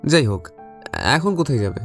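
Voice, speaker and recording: Echoey, animated detective's sidekick. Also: male voice, mid-range voice, moderate pace, gravelly. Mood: questioning. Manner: expressive, storytelling. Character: young male detective's sidekick and narrator, doubtful. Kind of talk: dramatic audio story narration and dialogue. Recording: reverberant voice over music bed.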